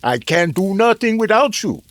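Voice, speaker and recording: A man's voice: sits high, big pitch swings, normal speed, dry recording. A man playing a sinister, calculating scientist in an old-time radio drama, with formal, clipped phrasing. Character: sinister, calculating male scientist selling macabre services.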